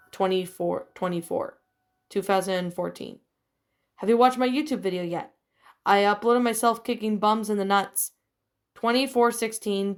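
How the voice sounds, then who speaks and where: A woman narrating a scripted horror story, reading aloud and now and then stumbling over the words. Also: mid-range voice.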